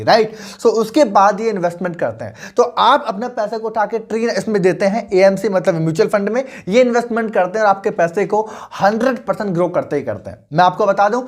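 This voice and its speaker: A young man in his twenties coaching on investing, talking persuasively and often checking that the listener is following. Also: high voice. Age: 20s. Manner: persuasive, mixes English into Hindi, asks the listener questions. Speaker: finance coach. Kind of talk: motivational finance lesson.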